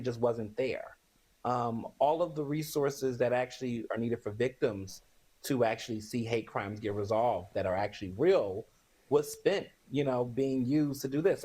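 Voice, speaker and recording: A man's voice: mid-range voice, darkish tone. Emphatic man giving opinionated news commentary. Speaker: journalist and guest commentator.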